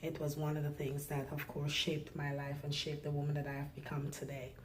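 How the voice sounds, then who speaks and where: A middle-aged woman from Guyana with a deep, low voice talks slowly and thoughtfully, often stopping with "um" as she reflects. A faint hiss sits under the recording. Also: monotone. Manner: soft-spoken, reflective, halting with fillers. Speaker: nurse, interview guest. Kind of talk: personal interview.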